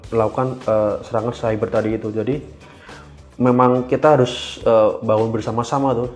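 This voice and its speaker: A man, answering casually as a podcast guest, hesitant and full of filled pauses. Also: medium pitch, level tone, darkish tone, soft background music. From Indonesia. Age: 20s. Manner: hesitant, halting delivery with frequent filled pauses. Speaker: guest cyber security engineer.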